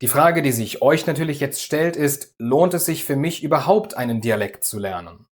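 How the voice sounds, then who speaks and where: Calm man explaining a language lesson. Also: mid-range voice, normal speed. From Düsseldorf area, Germany. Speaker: language teacher, host.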